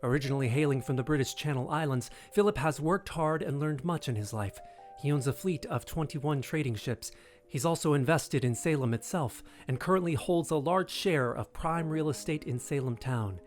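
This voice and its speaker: A man's voice: fairly high pitch, moderate pace, soft background music. A man narrating an audio drama in a scripted, dramatic storytelling style.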